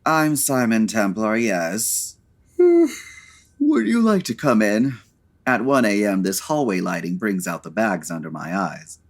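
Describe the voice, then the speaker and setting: A man playing a suave, wry gentleman sleuth in an old-time radio comedy, urbane and dryly sarcastic. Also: on the low side, sing-song, slow, heavily acted.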